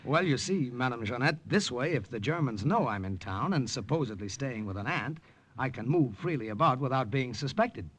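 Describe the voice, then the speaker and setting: An actor playing a matter-of-fact American secret agent in a radio drama, with an American accent and casual delivery. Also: male voice, medium pitch, expressive, normal speed, close to an everyday voice. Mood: earnest. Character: plain-spoken American secret agent behind enemy lines. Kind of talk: 1950s radio drama acting and narration.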